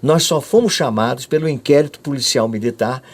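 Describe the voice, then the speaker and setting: A man's voice: medium pitch, a little fast. An older Brazilian man giving conversational testimony of his own past, emphatic, often repeating and restarting his words.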